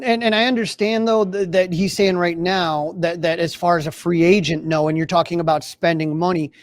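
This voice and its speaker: A man's voice: high-pitched, no room sound. An American man co-hosting a sports podcast in a casual, rambling way, often starting a phrase over.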